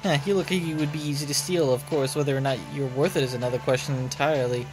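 A man's voice: mid-range voice, steady tone, fast, over music, lightly acted, poor audio. A man voicing a cool, haughty villainess from a game. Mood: disdainful.

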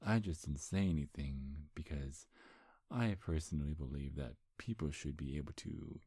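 A man's voice: extremely low pitch, moderate pace, dark tone, husky, no echo, studio quality, full character voice. A man playing a charming, teasing demon seducer in an intimate ASMR roleplay, sly and flirtatious, with hesitant stammers. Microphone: close, dry microphone.

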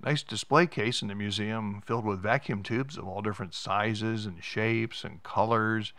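A man's voice: lowish voice, a bit bright, no echo. A middle-aged American man giving a casual narrated tour as a vlog host.

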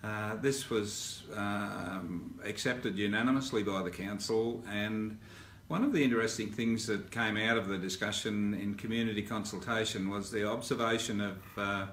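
An older man with an Australian accent, giving a formal spoken update to residents, measured and halting with many "uh" fillers and restarts. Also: fairly low pitch, a bit bright. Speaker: mayor giving a council update. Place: indoor office in front of a backdrop. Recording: roomy sound with faint ambient hiss.

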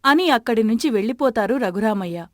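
Slightly high-voiced woman narrator. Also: lively intonation, fairly quick, no echo, clean studio sound. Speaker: story narrator. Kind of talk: scripted narration of a moral story.

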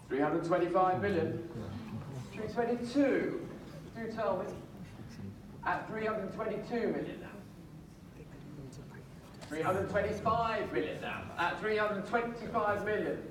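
Far-off auctioneer calling bids in an echoey room. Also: male voice, high voice, noisy background. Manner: brisk, repetitive calling of bids with light joking asides. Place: large auction sale room, heard from the audience. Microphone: far phone camera in the crowd. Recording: distant echoey sale room from a phone in the crowd.

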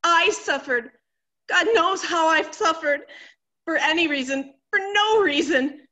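A woman's voice: extremely high pitch, unhurried, pushed and bright, full character voice. A middle-aged woman playing an anxious, melodramatic wife in a stage comedy, fretful and exclaiming. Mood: anguished. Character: anxious, melodramatic wife awaiting soldier husband's return. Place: indoor room, recorded over video call.

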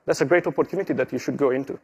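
A middle-aged man from Italy with an Italian accent, giving a steady tech conference walkthrough with small slips and fillers. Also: medium pitch, very fast, slightly rough. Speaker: conference presenter. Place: conference stage.

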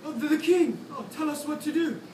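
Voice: high-pitched, a little fast, lightly acted. Hungry, gullible crocodile played by a student, far off. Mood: eager. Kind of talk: children's readers theatre performance. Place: classroom, recorded by a far camera. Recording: echoey classroom from far off, noisy.

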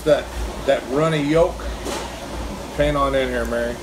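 A man's voice: on the high side, background noise. Folksy man in a diner. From Kentucky, US. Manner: chatty, folksy, talks while eating. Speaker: food reviewer. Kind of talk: casual food review vlog. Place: small diner, indoors. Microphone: phone camera across the table.

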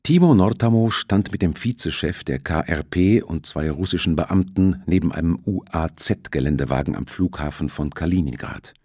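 A man's voice: sits low, animated, normal speed, few pauses, mellow, breathy edge, no room sound, studio quality. A man narrating a thriller audiobook in a scripted, storytelling style.

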